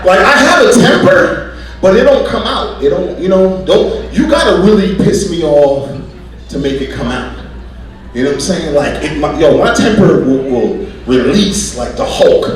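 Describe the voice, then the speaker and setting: Animated stand-up comic in an echoey club. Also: male voice, normal speed. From United States. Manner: animated, acts out bits with loud yelps and squeaky kid voices. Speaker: stand-up comedian. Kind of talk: stand-up comedy set. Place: comedy club stage. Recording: distant, echoey live club recording with loud crowd.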